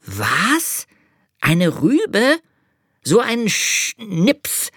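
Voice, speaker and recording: A narrator voicing a small, proud and excited boy angel in a children's storybook, chattering eagerly. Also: mid-range voice, a little slow, breathy edge, dry recording, clean studio sound, voice pushed up, exaggerated voice. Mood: indignant. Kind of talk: storybook reading.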